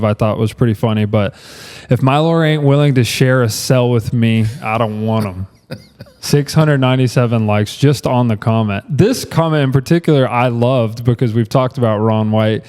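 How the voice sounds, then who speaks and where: A man's voice: mid-range voice, big-room sound. A young man co-hosting a podcast, asking questions in a casual, easygoing way with lots of fillers. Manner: casual, relaxed interviewer with fillers. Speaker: podcast co-host.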